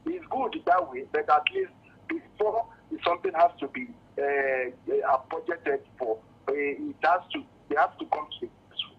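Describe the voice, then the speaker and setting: A muffled phone-in caller, a man with a Nigerian accent and a high, gravelly voice. He speaks off the cuff, insistent and halting, circling back over his own words. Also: wildly swooping pitch. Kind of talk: spontaneous opinion on a call-in show. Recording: muffled, lo-fi phone call to radio.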